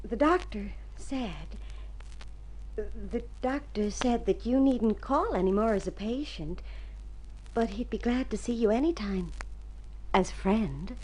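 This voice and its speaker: A woman's voice: on the high side, expressive, slow, a little mellow, a little hiss or hum, rough recording. An actress playing a sweet, earnest young country woman in an old radio drama, ingenuous and fond. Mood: shy, tender. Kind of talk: golden-age radio drama acting.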